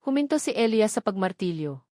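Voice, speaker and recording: A woman reading a scripted dramatic story in measured, deliberate short phrases. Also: mid-range voice, sing-song, warm tone, no room sound, studio quality. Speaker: audiobook narrator.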